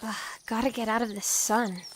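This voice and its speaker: A woman's voice: a little high, steady tone, normal speed, music in the background, natural voice. A young woman acting a plucky, sardonic exile in her twenties in an audio drama. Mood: weary muttering.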